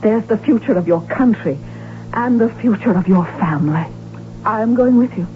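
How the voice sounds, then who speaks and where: An actress playing a headstrong, devoted royal wife, proud, insistent and theatrical, in a 1970s radio drama. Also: female voice, mid-range voice, expressive, normal speed, close to an everyday voice. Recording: tinny, faintly noisy old radio recording.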